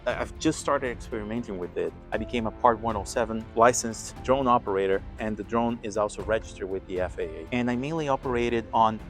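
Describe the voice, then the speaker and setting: A man's voice: lowish voice, fast, hardly any pauses, soft background music. A man hosting an aviation vlog, explaining his equipment in a casual, conversational way. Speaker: aviation vlog host and pilot. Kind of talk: casual explanatory gear walkthrough to camera.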